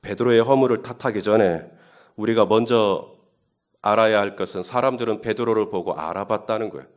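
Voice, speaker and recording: A man's voice: fairly low pitch, fairly quick. A male pastor preaching a Holy Week sermon. He talks in a conversational way and builds up to emphatic questions. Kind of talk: sermon at early-morning Holy Week service.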